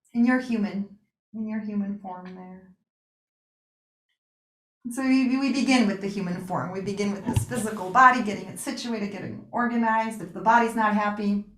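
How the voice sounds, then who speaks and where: A woman guiding a relaxation meditation with gentle spoken instruction, pausing and reassuring in a soothing way. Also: medium pitch. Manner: unhurried pauses, soothing reassurance. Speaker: yoga nidra meditation guide. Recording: roomy, echoing sound.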